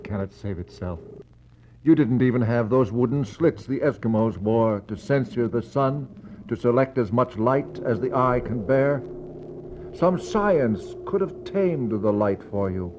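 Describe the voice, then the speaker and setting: A man acting a brooding explorer in a 1960s radio play, speaking a poetic monologue in a measured, incantatory way with grim sympathy and weighty pauses. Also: mid-range voice, a little mellow, a little hiss or hum. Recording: dull 1960s radio recording.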